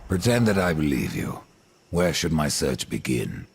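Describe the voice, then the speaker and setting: Very deep, grim warrior. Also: male voice, very animated, a little slow, creaky, husky, exaggerated voice. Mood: guarded. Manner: terse, stern delivery. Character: grim, distrustful supernatural warrior rider, stern and weary. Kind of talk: fantasy video game acting.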